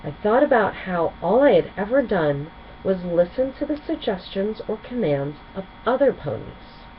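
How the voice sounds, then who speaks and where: A woman voicing a gentle, reassuring pony princess who mentors others in a storybook. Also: medium pitch, very animated, normal speed, lo-fi sound, slight background noise, close to an everyday voice. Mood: reminiscing.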